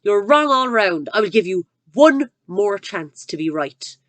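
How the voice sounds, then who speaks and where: Woman reading as a giant bully of a headmistress, barking and sneering, loud and harsh, lively swings in her voice, dry close sound. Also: fairly quick, no echo, close to an everyday voice. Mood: roaring. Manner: barking, booming and contemptuous. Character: huge bullying middle-aged headmistress, cruel and scornful.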